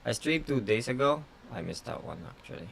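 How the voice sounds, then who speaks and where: A chess grandmaster whose first language is Tagalog, streaming blitz games and thinking aloud in short, halting phrases full of fillers. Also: male voice, mid-range voice, very animated, vocal fry, a little hiss or hum. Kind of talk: casual live-stream commentary.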